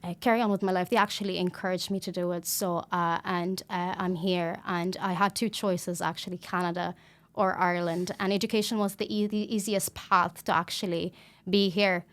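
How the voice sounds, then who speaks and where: A woman's voice: fairly low pitch, little pitch movement, moderate pace. A woman in her twenties from Lebanon, telling her story as a podcast guest in an earnest, hesitant way full of ums and restarts. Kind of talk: personal interview talk.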